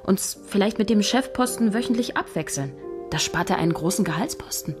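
A woman's voice: medium pitch, expressive, brisk, close to an everyday voice. An actress playing an ambitious female scriptwriter, casual and persuasive in naturalistic radio drama dialogue. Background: music.

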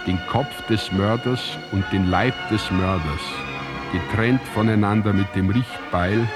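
An actor as the grave narrator of an ancient tragedy in a radio drama, reciting in a measured, solemn, declamatory way. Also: male voice, lowish voice. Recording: music under the voice. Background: music.

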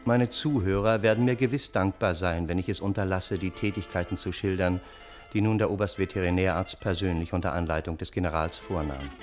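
Low, wry gentleman narrator played by a man. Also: fairly even intonation, fairly quick, lightly acted. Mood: wry, measured. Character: wry, long-suffering gentleman narrator, courteous and ironic. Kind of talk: scripted radio play narration. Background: balalaika music.

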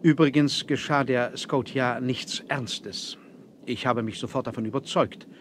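A man acting as a mysterious, proud submarine captain in a vintage radio play. He speaks at a middle pitch, with formal courtesy and complete self-assurance. The old vinyl transfer carries clear noise. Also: fairly even intonation, measured pace, natural voice. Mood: calm, formal. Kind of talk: 1970s German adventure radio play acting.

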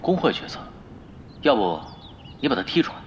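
A man's voice: mid-range voice, sing-song, brisk, highs cut off, a little hiss or hum, lightly acted. A man playing a laid-back, shameless pro gamer in an audio drama, casual and teasing. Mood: sly. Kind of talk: Chinese audio drama acting.

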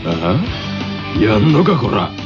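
A man's voice: fairly low pitch, very animated, slow. A man playing a menacing, hot-tempered teenage tennis rival, confrontational and snarling, in anime radio banter. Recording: dull lo-fi radio audio, loud music.

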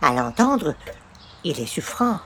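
A woman's voice: deep voice, very animated, takes it slowly, slight background noise, full character voice. A woman playing a pious, prim and insistent neighbour in a radio comedy, speaking formally. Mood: sententious. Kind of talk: old-time radio comedy acting.

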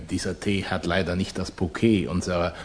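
An actor playing a suave, pompous émigré revolutionary leader in a radio play, speaking grandly and condescendingly with measured hesitations. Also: male voice, low voice, fairly even intonation, lightly acted. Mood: condescending, probing. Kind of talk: German radio play acting.

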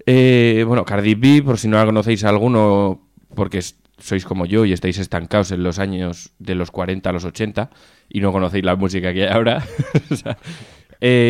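A man's voice: lowish voice, fairly even intonation, a little fast, smooth, dry recording, studio quality. A man with an accent from Spain co-hosts a casual radio chat show in an informal, jokey way, swearing freely. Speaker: co-host of a music and chat show.

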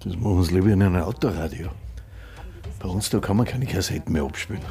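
Really deep gravelly radio guy in a radio play, dry, unflappable, mildly protesting. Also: fast, warm tone. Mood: dry, calm. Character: dry, unflappable male radio station employee held hostage. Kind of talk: radio drama acting.

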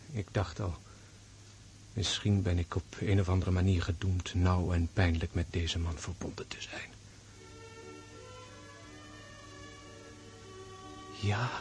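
An actor playing a gravely wounded nobleman in a radio drama, tender and sorrowful, musing in a hushed, introspective way. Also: low voice. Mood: stunned, resigned. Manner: slow, hushed, introspective monologue.

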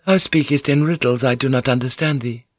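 A man voicing a gentle, composed Japanese noblewoman in an audiobook, speaking in courteous, archaic phrasing. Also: medium pitch, a little fast, no echo, pitched above the performer's own voice, natural voice. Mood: guarded.

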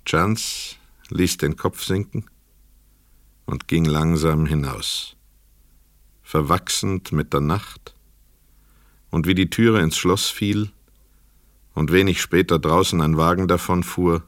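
A man's voice: low voice, slow, pauses often, no room sound, clean studio sound. A man narrating a literary crime radio play from a script.

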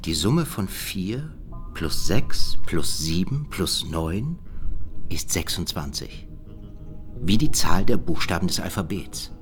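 An actor playing a wary, sceptical cold-case investigator in a naturalistic radio drama, questioning in a pensive, dry manner. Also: male voice, deep voice, very animated, slow pace, a bit bright, faint music behind, full character voice.

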